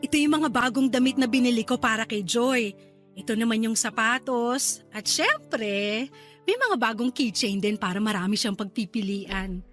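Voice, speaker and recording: An actress playing a devoted, proud and excitable aunt in an expressive radio drama style. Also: female voice, fairly high pitch, moderate pace, soft background music. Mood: excited, fond. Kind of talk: Tagalog radio drama acting.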